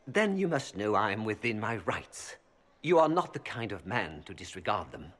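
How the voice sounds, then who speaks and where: Merchant, schemer, super formal n oily, voice swoops all over, bit husky, game character. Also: male voice, on the low side, big pitch swings, moderate pace, a bit bright. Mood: ingratiating, defensive. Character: scheming, ingratiating male merchant, hungry for status. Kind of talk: video game voice acting.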